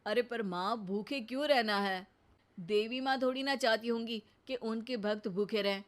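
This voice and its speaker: A woman voicing a gentle young son. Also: a little high, moderate pace, close to an everyday voice. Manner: gentle, reasoning. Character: caring young adult son.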